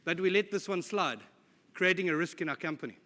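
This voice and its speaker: A middle-aged man talks to a conference audience in a casual, joking way, poking fun at himself. His voice is bright and forceful and sits a bit high. A long reverb from a large hall trails behind it. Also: fairly quick. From South Africa. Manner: jokey, informal, with self-deprecating asides. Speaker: conference speaker. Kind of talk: conference presentation. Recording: live talk in a reverberant hall.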